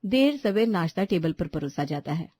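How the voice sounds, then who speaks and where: A woman's voice: fairly low pitch, lively intonation, normal speed, darkish tone, slightly rough. A woman reading scripted story narration as a storyteller.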